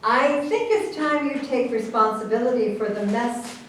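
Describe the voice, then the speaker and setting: In a very echoey room, away from the mic, a woman acts out a firm female presidential candidate on stage. The voice is fairly high. She sounds earnest, accusing and indignant. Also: moderate pace, fairly bright tone, lightly acted. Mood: reproachful. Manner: reproachful, earnest. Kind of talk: staged play reading, also reads stage directions. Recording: echoey room, distant mic, faint noise.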